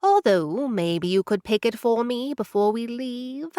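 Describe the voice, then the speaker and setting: A woman's voice: on the high side, animated, unhurried, mellow, clean tone, no room sound, studio quality. A woman playing a curt tiny ship captain. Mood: brusque. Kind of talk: audio drama acting.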